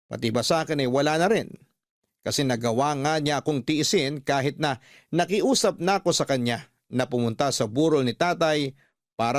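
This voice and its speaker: A man's voice: a little high, no echo, clean studio sound. A man with a Filipino accent reading a scripted first-person story as a radio storyteller.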